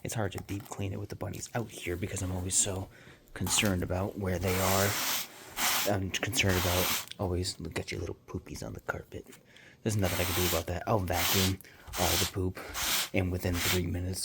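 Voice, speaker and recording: A man chatting casually while cleaning his living room, meandering and offhand, with quiet mumbled asides, into a handheld phone. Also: sits low, little pitch movement, a little fast, creaky. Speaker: vlogger and pet owner. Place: home living room, indoors. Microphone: handheld phone camera. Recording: loud background noise.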